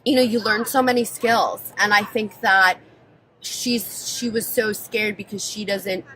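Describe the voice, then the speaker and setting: A woman's voice: medium pitch, steady tone, measured pace, pushed and bright, slight background noise, low-quality recording. An American woman speaking as a guest in a casual interview, explaining things in an informal, chatty way. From born in Israel, grew up mostly in New Jersey, US.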